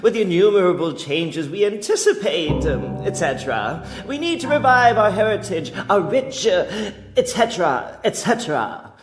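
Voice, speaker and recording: Pompous alien crown prince for radio drama: high, swooping voice, grandiose and rambling, music underneath. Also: male voice, very animated, normal speed, few pauses. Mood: pompous, formal. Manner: formal, grandiose, trailing off mid-speech. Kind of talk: radio play acting. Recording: music behind the voice.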